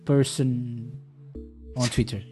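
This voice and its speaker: Man, podcast host, casual banter, teasing, stammers, middling pitch, faint music behind. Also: little pitch movement, takes it slowly, a little mellow. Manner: teasing, stammers and repeats words. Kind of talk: casual joking chat.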